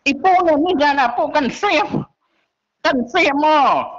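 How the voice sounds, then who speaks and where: A woman speaks in a high register at a very unhurried pace. She is in a casual phone conversation, and the audio is dull and muffled. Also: lively intonation.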